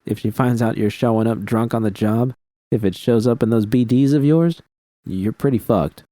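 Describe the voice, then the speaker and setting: A man's voice: mid-range voice, normal speed, mellow, no room sound, lightly acted. A man voicing a tough, protective woman friend in an audiobook, blunt and streetwise. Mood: firm, concerned. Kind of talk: audiobook character dialogue.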